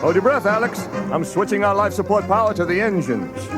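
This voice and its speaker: A man's voice: high-pitched, animated, a little fast. A man voicing a jovial lizard-like alien copilot in a theatrical storybook read-along. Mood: urgent. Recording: loud music and effects behind.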